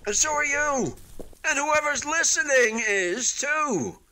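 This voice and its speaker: An actor in a radio play voicing an unfinished hotel building, a wistful but stubbornly hopeful man who talks in a halting, conversational way. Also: extremely high pitch, animated, sharp tone, full character voice. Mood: upbeat, hopeful. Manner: halting, conversational, with sighs and emphatic swings.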